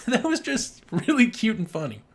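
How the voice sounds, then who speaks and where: A man's voice: very high-pitched, slightly rough. A man co-hosting a podcast, chatting casually about film in a rambling, jokey, sweary way. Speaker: podcast co-host.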